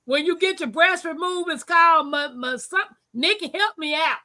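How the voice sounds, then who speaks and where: A woman's voice: very high-pitched, moderate pace, sharp tone. A woman, chatting casually and telling stories as a co-host on a group live stream. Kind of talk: casual group chat, storytelling.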